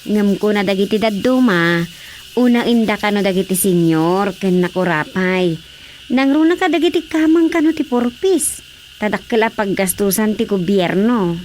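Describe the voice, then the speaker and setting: A woman acting an excitable village woman in a comedy radio drama, emphatic and plaintive, with an Ilocano accent. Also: medium pitch, moderate pace, a little hiss or hum, lightly acted. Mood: questioning.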